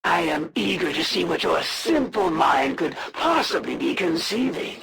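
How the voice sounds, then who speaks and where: A proud, menacing female alien assassin from a video game. Her voice is heavily creaky and swings widely in pitch as she delivers formal, theatrical taunts. She speaks slowly and deliberately, cold and full of wrath. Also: sing-song, fairly bright tone, full character voice. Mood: taunting, menacing. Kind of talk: video game villain voice lines.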